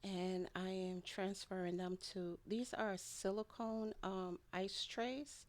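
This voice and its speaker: A woman's voice: mid-range voice, steady tone, slow pace. A woman narrating a homemade food vlog in a casual, rambling way, often with a light chuckle in her talk. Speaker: home food and garden vlogger. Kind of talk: casual voice-over narration of a kitchen process.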